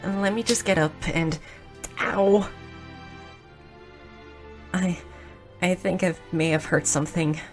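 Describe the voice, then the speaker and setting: A woman's voice: lowish voice, fairly even intonation, takes it slowly, long pauses. A woman playing a shy, clumsy, sweet young goblin girl who stammers earnestly in an intimate romantic audio roleplay. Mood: embarrassed, apologetic. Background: music.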